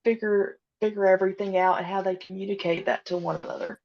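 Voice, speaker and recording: Female, thirties, halting conversational interviewee, medium pitch, muffled low-fidelity sound. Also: steady tone, vocal fry. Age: 30s. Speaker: podcast guest, audio drama creator.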